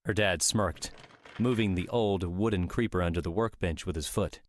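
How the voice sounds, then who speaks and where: Deep-voiced male audiobook narrator. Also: normal speed. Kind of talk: scripted story narration. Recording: music under the voice.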